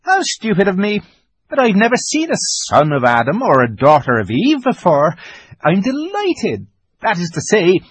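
A man's voice: high voice, wildly swooping pitch, normal speed, breathy edge, dry recording, pitched above the performer's own voice, heavily acted. A man voicing a polite, fussy, eager fawn creature in a storybook, with formal, flustered courtesy. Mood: apologetic, delighted.

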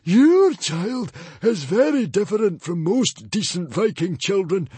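A man's voice: high-pitched, big pitch swings, unhurried, mellow, breathy edge, no room sound, voice pushed up, full character voice. A man voicing a hissing, whiny male librarian villain in an audiobook reading. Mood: menacing, screeching.

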